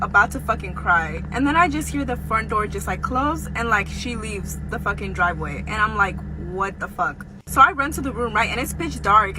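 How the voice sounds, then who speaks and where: Young woman storyteller over clear background noise. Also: a little high. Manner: frequent profanity, slangy and conversational. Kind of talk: casual personal storytime for social video.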